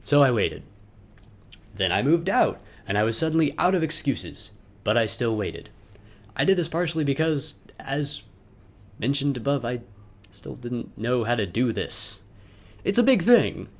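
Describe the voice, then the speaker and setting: Young adult male for a theatrical first-person letter read to camera; halting, emphatic, with dry asides. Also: mid-range voice, big pitch swings. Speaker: video letter narrator.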